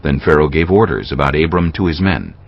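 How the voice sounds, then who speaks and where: Very deep, gravelly male scripture narrator. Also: expressive, fairly quick, dry recording. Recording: muffled sound under clear music.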